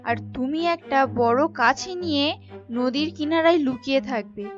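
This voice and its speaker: A woman's voice: sits high, moderate pace, close to an everyday voice. A woman voicing a bossy, scheming village wife in a cartoon folk tale, scolding and commanding. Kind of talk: animated folk tale voice acting. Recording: dull lo-fi sound with music behind.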